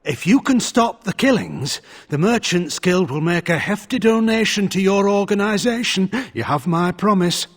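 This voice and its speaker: Anxious high-voiced guild merchant. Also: male voice, lively intonation, normal speed, few pauses. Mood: pleading gratitude. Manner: earnest, fretful, deferential. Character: anxious, pleading male merchants' guild official. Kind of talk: video game character acting.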